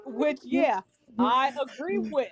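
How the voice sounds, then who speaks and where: A woman's voice: high voice, lively intonation, takes it slowly, a crowd in the background. A woman chatting casually as a podcast panelist, giving blunt, emphatic opinions in a heated group discussion.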